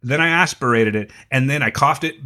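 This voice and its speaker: A man co-hosts a podcast in a mid-range voice that sounds a bit scratchy and hoarse. He teases his co-host and explains things with mock authority in casual chat. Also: mid-range voice, brisk, bright, forceful tone.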